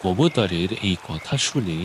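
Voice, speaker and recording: A man's voice: deep voice, very animated, unhurried, background noise. A man narrating a scripted children's cartoon story.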